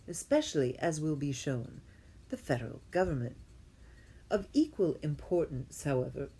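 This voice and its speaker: A woman reading an academic text aloud as an amateur, haltingly, often going back to repeat a phrase and correct herself. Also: lowish voice, dark tone, breathy edge. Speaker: reader.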